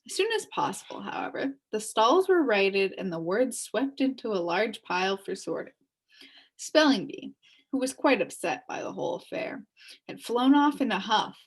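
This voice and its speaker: A woman reading a children's chapter book aloud as an expressive story narrator. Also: mid-range voice, moderate pace, breathy edge. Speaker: storybook reader.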